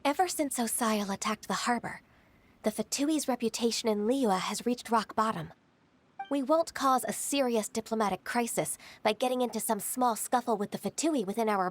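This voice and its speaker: A woman's voice: on the high side, slight background noise. A woman voicing a composed female secretary in a game, formal, polite and direct. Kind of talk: game voice acting.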